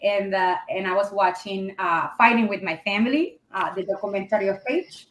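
A woman's voice: mid-range voice, moderate pace, fairly bright tone, reverberant, rough recording. A woman, chatting as a guest on a podcast, searching for words and laughing easily. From Colombia. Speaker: guest, pro wrestler. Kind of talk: casual interview chat.

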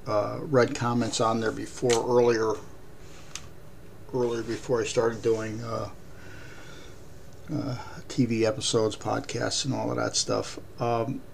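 A man hosting a podcast, raspy voice, talking haltingly with many ums and uhs. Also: mid-range voice, a little hiss or hum. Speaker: podcast host. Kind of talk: casual podcast commentary.

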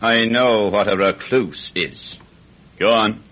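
An actor playing an impatient, dry-witted Irish judge in a classic radio drama, brusque and authoritative. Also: male voice, on the low side, slow, a bit bright. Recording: dull vintage radio recording.

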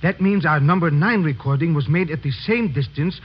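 Formal, higher-voiced police lieutenant. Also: male voice, normal speed, breathy edge. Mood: puzzled, attentive. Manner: formal, precise, deferential. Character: polite, formal foreign police lieutenant, earnest male sidekick. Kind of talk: old-time radio drama acting. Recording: muffled, noisy old radio recording.

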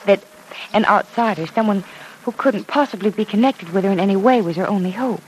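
A woman's voice: mid-range voice, fairly quick, lightly acted. An actress playing a teasing, good-natured young woman in an old-time radio drama, talking in a candid, conversational way. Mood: earnest, explaining. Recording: noisy vintage radio broadcast recording.

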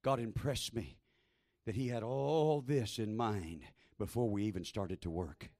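A man preaching a fervent sermon in a small church, repeating phrases and building to shouted exhortation over a handheld microphone. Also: fairly low pitch, a little slow. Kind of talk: Pentecostal-style sermon.

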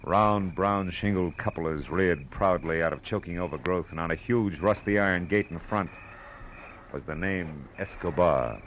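An actor playing a wry, dogged private detective in a 1940s radio drama, with clipped, world-weary noir delivery. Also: male voice, low voice, moderate pace, slight background noise. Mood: brooding, tense. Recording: vintage radio recording with loud music.

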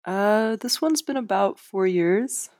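A woman's voice: medium pitch, lively intonation, slow, darkish tone, dry recording, studio quality. A woman author speaking in an interview, hesitant, with many fillers and restarts. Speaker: author guest. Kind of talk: casual interview.

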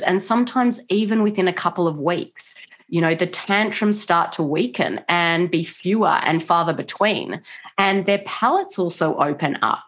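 Australian mum in her middle years, a touch low-voiced, explaining things earnestly in a casual interview. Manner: earnest, animated, many "you know" fillers. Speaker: guest, mother and nutrition coach. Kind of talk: interview conversation.

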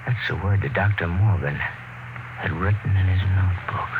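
An actor playing a weary, probing space expedition captain who narrates gravely and dramatically in a classic radio drama. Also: male voice, deep voice, moderate pace, narrow, tinny audio, noisy background, close to an everyday voice. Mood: probing, intent. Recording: old radio recording with music behind.

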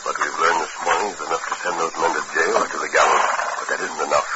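A man playing an earnest, commanding masked lawman in a radio Western, speaking gravely, formally and deliberately. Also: a bass voice, steady tone. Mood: grave, resolute. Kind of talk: old-time radio Western acting. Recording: muffled, very noisy old radio recording.

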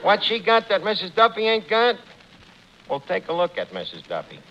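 A breezy New York tavern manager in a 1940s radio comedy, pitched high with a swooping delivery. He is slangy and mangles fancy words, on a dull, noisy old recording. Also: huge pitch swings, moderate pace, heavily acted. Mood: breezy, wry. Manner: slangy, wisecracking, full of malapropisms. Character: cheerful wisecracking tavern manager who mangles his words. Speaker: tavern manager, lead comic.

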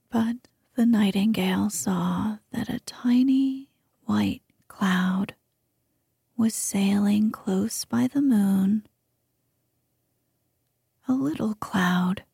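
A woman telling a fairy tale in a slow, soothing whisper, as whispered ASMR storytelling. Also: medium pitch, creaky, warm tone, breathy edge, dry recording, clean studio sound.

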